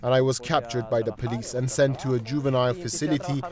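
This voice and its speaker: A man's voice: mid-range voice, fairly even intonation, fast, close to an everyday voice. A man reading the translated testimony of a young Moroccan migrant man as a documentary voice-over. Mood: plain recounting. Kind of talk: translated first-person documentary narration. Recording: music under the voice.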